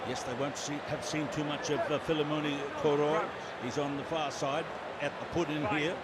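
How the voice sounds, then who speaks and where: A man with a high-pitched voice calls a rugby sevens match play by play. He moves briskly from name to name as the ball travels. Heavy stadium noise sits loud under his commentary. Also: flat delivery. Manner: brisk play-by-play, calling names as the action unfolds.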